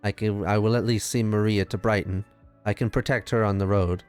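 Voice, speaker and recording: A man's voice: fairly low pitch, fairly even intonation, brisk, darkish tone, soft background music, natural voice. A man playing an earnest, determined mortal adventurer in a fantasy tabletop game, speaking in an insistent, persuasive way.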